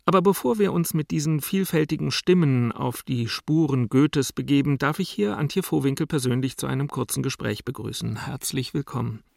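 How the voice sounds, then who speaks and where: A man's voice: medium pitch, moderate pace, creaky, hardly any pauses, darkish tone, no room sound, clean studio sound. A man presenting on radio, with scripted introductions and interview questions.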